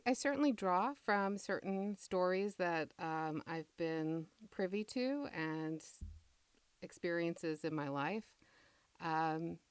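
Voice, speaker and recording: A middle-aged woman being interviewed as a playwright, talking reflectively with measured, deliberate phrasing. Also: fairly low pitch, smooth, no echo.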